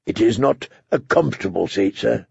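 Man acting a stern, gravelly, iron-willed king. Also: on the low side, animated, normal speed, darkish tone, no echo, highs cut off, full character voice. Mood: bitter, brooding. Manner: commanding, clipped, humorless. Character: stern, unbending, bitter king of iron will.

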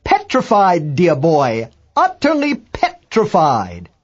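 A pompous English amateur astronomer, pitched high and slightly muffled. He is prissy and rattled, forever correcting himself with formal understatement in an audiobook tale. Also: wildly swooping pitch, slow, breathy edge, full character voice. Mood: petrified, jovial. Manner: pedantic, self-correcting, stiffly formal.

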